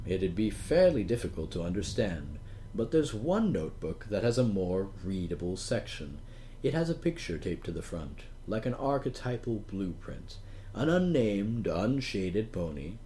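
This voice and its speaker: A man with a low, mellow voice narrates an audiobook as though talking straight to the listener. His delivery is theatrical and wry, rising and falling freely in pitch. A little noise sits behind the voice. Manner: theatrical, wry, chiding, addressing the listener directly. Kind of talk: dramatic first-person audiobook narration, conversational asides.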